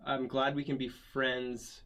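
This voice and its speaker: A man's voice: mid-range voice, level tone, slow, a bit bright. A Canadian man hosting a casual interview, asking questions in a teasing, playful way. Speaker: podcast host. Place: indoors, at a table with drinks.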